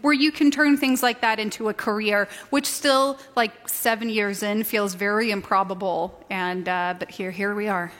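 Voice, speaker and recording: A woman's voice: medium pitch, steady tone, moderate pace, hardly any pauses, a bit bright. A woman from Sweden in her thirties, an inventor and online creator, chatting casually as an interview guest, joking about herself and laughing often. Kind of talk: casual chat on stage. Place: round live stage, reverberant hall. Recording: long hall reverb from a live stage.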